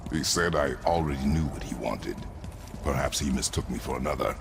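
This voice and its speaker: An actor playing a stern, brooding warrior father in a video game, with a deep gravelly voice and a curt, stoic manner. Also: a little fast, background noise. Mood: guarded. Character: stern, terse, battle-hardened warrior father, huge and brooding. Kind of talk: video game character acting.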